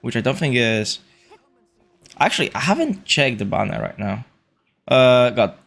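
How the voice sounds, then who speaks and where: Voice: medium pitch, very animated, moderate pace, vocal fry, a bit bright. A video game streamer giving casual, off-the-cuff livestream commentary, muttering reactions to the game.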